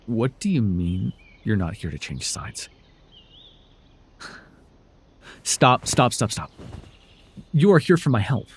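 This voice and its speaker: A man voicing a proud, sardonic supervillain with a hidden soft spot in an intimate audio roleplay, speaking in a husky, theatrical way. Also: mid-range voice, very animated, unhurried, exaggerated voice. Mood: incredulous, sardonic. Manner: theatrical, sardonic, swinging between soft murmurs and emphatic outbursts. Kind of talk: ASMR roleplay voice acting, intimate dramatic monologue to the listener.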